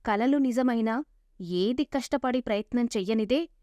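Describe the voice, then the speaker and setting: A woman's voice: a little high, moderate pace, warm tone, dry recording. A woman narrating a scripted moral story as a storyteller. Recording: dull lo-fi sound.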